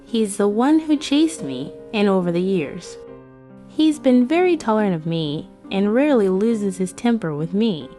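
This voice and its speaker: A woman's voice: mid-range voice, animated, a little slow, mellow, natural voice. A woman voicing a heartbroken young office worker who narrates her breakup in a romance comic dub. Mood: wistful. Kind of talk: scripted first-person comic dub narration. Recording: music behind the voice.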